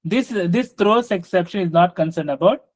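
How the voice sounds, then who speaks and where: A man teaching an online software class over a video call, often checking in with his students, with a slight cough. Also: high-pitched, normal speed. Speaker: software trainer.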